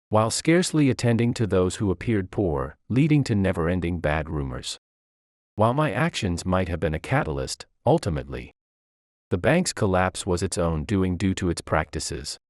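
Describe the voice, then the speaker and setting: A man's voice: low voice, very animated, moderate pace, a little mellow, dry recording, studio quality. A man reading a scripted first-person story as its narrator.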